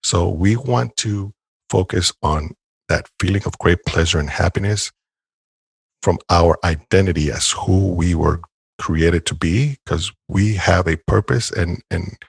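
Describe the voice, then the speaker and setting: A man's voice: low voice, measured pace, creaky, breathy edge, dry recording. A man co-hosting a podcast, talking in a thoughtful, rambling way with many restarts. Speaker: podcast co-host. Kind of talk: casual podcast conversation.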